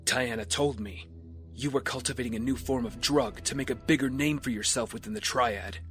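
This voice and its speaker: A man's voice: medium pitch, a little fast, lightly acted. A man playing an intense, defiant hero out for vengeance in an audio drama. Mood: grim. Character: vengeful, wounded young male hero confronting his enemy. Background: loud music.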